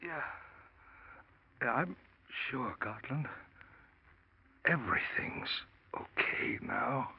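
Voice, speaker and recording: A man's voice: medium pitch, expressive, very slow, pauses often, background noise. An actor playing a nervous, stammering man hiding a murder in a 1940s radio drama. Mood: dazed. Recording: dull old radio broadcast.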